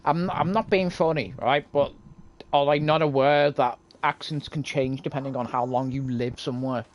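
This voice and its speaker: A man in a casual online chat, reacting quickly with questions and sweary banter. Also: medium pitch, fairly even intonation, lo-fi sound.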